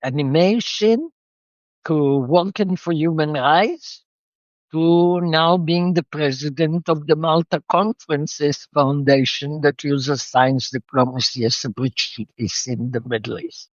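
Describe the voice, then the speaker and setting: Older woman guest, unhurried reflective storyteller, slow delivery, slightly dull dry sound. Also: low-pitched, dry recording. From Israel. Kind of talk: interview storytelling.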